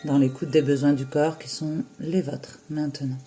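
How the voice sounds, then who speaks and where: A yoga nidra teacher guiding a relaxation in a soothing, unhurried way, with long pauses between short phrases. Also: medium pitch, little pitch movement, mellow. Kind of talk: slow guided relaxation meditation.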